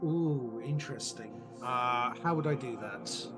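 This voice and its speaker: A man gives thoughtful answers as a podcast guest, laying out creative ideas piece by piece and stressing key words. His pitch is average for a man. Music plays loudly underneath his voice. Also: unhurried. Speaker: interview guest, audio drama writer and director. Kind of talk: thoughtful podcast interview conversation.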